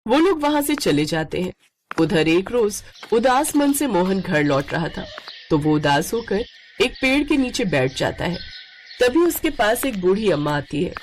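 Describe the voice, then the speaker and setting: A woman storyteller narrates a children's tale. Her medium-pitched voice moves in a lively, animated way through the scripted lines. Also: unhurried, a little hiss or hum.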